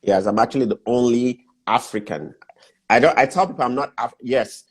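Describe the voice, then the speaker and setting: A man's voice: mid-range voice, normal speed, breathy edge. A middle-aged Nigerian man with a Nigerian accent, a guest mentor who talks through business steps in a casual interview, repeating his key points with emphasis.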